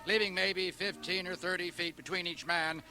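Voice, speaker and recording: Sharp, high-pitched rustic village policeman. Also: fairly even intonation, moderate pace, soft background music. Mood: commanding. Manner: loud, folksy, commanding. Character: brisk rustic village policeman, bossy, plain-spoken. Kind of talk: radio drama acting.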